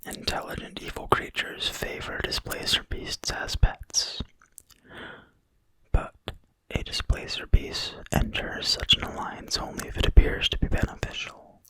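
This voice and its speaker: Breathy, slow ASMR whisperer. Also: poor audio. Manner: slow, soft, unhurried, deliberate. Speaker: ASMR video creator and reader. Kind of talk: whispered ASMR reading of a fantasy game book.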